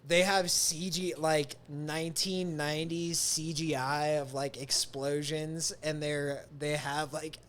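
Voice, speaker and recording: Slow, rambling man with a higher voice. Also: flat delivery, fairly bright tone, slight background noise. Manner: rambling, halting, full of "like" and laughter. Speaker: podcast host. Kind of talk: casual rambling podcast talk.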